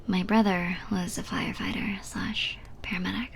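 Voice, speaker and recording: A woman playing a flirty, playful bartender in an ASMR roleplay, speaking in a soft, intimate, breathy half-whisper. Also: mid-range voice, steady tone, normal speed, a little hiss or hum, close to an everyday voice. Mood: sympathetic.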